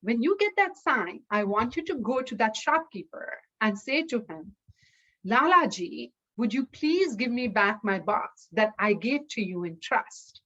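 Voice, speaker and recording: Folktale role: female storyteller as generous, sure young man, reassuring, unhurried, dull audio. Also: fairly high pitch, expressive, fairly bright tone. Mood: confident, instructing. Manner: reassuring, instructing. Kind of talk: oral folktale telling.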